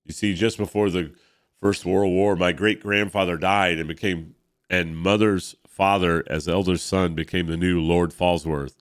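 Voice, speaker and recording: A British gentleman recounts his great uncle's fall to vampirism with a low, even storyteller's voice, as a congested man reads his lines aloud. The tone is smooth and clear despite the stuffy nose. It sounds like a comic book being read out. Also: moderate pace, dry recording, studio quality, lightly acted. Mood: grave.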